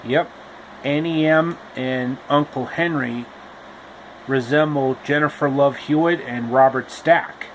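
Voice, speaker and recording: A man retelling a classic fairy tale in a casual storybook style, with slow, repetitive explanatory asides. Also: mid-range voice, slight background noise, poor audio.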